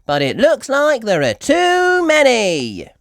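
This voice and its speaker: Woman voicing an excitable high-pitched little girl pig. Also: slow pace, dry recording, studio quality, heavily acted. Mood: excited, cheerful.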